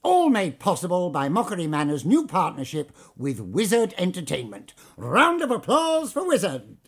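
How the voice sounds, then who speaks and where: An actor playing a pompous, bullying theme park manager with an English accent, in audio drama style. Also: male voice, sits high, huge pitch swings, moderate pace, breathy edge, exaggerated voice. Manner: pompous, theatrical.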